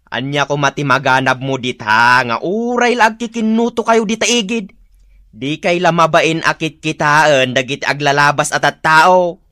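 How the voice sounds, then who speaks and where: An actress playing a grumbling, sarcastic spouse who scolds in a village comedy radio drama. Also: female voice, deep voice, moderate pace, a bit bright, no room sound, clean studio sound. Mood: scolding.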